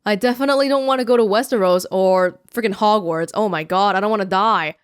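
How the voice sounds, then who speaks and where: Chatty young woman, smooth close-mic voice. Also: mid-range voice, no echo. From Canada. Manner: chatty, self-mocking, quick asides. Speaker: YouTuber. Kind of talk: casual Q&A vlog. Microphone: close studio mic.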